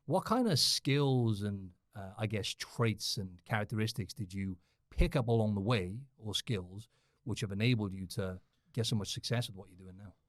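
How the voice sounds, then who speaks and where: A man's voice: on the low side, normal speed, dry recording, clean studio sound. A man with a Welsh accent hosting a podcast, upbeat and chummy with his guest. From Cardiff, South Wales. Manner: upbeat, chummy, says man and right a lot. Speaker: podcast host. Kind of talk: casual podcast interview.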